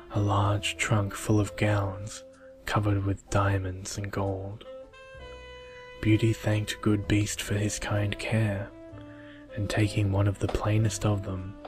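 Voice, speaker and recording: A soft-spoken man slowly reading a bedtime story in a soothing ASMR style. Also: on the low side. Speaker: storyteller, video creator. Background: music.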